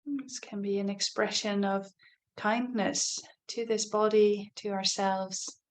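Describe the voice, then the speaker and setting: Gentle, unhurried woman guiding meditation. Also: medium pitch, flat delivery. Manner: gentle, unhurried, softly inviting, with long pauses. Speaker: meditation teacher. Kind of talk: guided body scan meditation.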